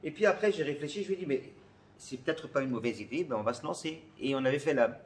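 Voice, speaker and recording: A man's voice: a little high, fast talker. A man from Côte d'Ivoire answers questions in a casual vlog, often searching for words and repeating phrases. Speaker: comedy video creator.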